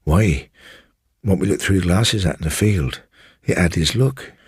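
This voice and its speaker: Man acting a frightened husky lad. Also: sits low, expressive, a little slow, dry recording, studio quality, heavily acted. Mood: frightened, pleading. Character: frightened simple young male servant, hesitant and pleading.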